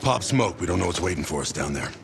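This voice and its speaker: Gravelly, low-voiced military squad leader. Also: male voice, animated, fast talker, noisy background. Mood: urgent, commanding. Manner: terse, commanding, profane. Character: gruff, hard-driving American military squad leader. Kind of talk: cinematic video game acting.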